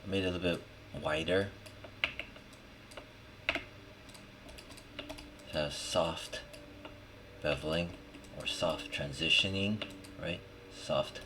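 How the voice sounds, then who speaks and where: Really deep slow guy mumbling thru a software tutorial, short slangy bits, noisy room w keyboard clicks. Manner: muttering short fragments, slangy. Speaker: 3D modeling tutorial host. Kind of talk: casual screen-recorded tutorial, thinking aloud while working. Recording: clear background noise, keyboard and mouse clicks. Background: typing and mouse clicking.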